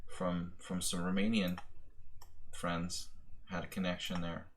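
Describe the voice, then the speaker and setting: A man with a fairly low voice chats through a live chess stream. He muses on his moves out loud, answers viewers and mock-scolds his opponent along the way. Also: completely flat delivery, a little slow, background noise. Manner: chatty, talks to chat and opponent while thinking moves. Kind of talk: casual live-stream commentary.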